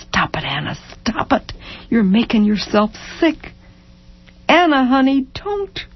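Woman voicing a gentle, pleading, worried husband. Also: mid-range voice, very animated, a little slow, breathy edge, no echo, muffled recording, exaggerated voice. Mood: beseeching. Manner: soft, beseeching, folksy dropped endings.

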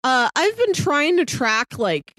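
A woman's voice: high voice, very animated, takes it slowly, a bit bright, no echo, studio quality. A woman co-hosting a podcast, chatting casually and articulately about films with playful asides. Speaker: podcast co-host reviewing films. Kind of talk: casual film review chat.

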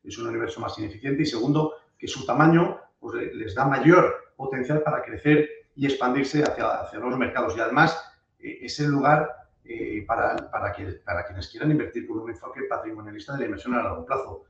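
Man, hoarse from a cold, halting finance talk, far from the mic in a very echoey room with a muffled sound. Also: medium pitch. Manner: hesitant, many fillers and restarts. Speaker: webinar speaker, fund manager. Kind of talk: presenting a finance webinar.

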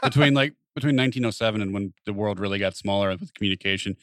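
A man co-hosting a comedy podcast, bantering casually and riffing with quick interjections. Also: lowish voice, dry recording, clean studio sound.

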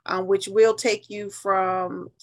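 A woman's voice: mid-range voice, little pitch movement, slow. A woman in her fifties with an American accent, telling stories casually and emphatically as an interview guest. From New Haven, United States. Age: 50s.

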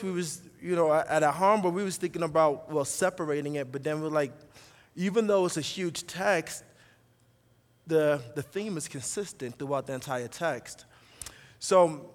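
A middle-aged man from New York with an American accent, preaching a sermon with emphatic repetition and conversational asides to the congregation. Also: on the high side. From New York, USA. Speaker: guest preacher, pastor. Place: large reverberant room, preaching to a congregation. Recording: long hall reverb.

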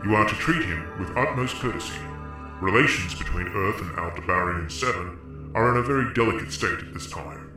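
A formal, low-voiced space service official played by a male narrator delivers orders in measured, official tones for a pulp sci-fi tale. The words echo heavily, with loud music underneath. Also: natural voice. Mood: formal, grave. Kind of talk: pulp science fiction radio storytelling.

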